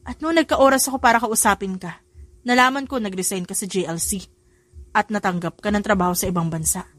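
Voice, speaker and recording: A woman's voice: mid-range voice, fairly quick, a bit bright, dry recording, close to an everyday voice. A woman voicing an earnest young man in a romance audio story, speaking in an insistent, emotional, pleading manner.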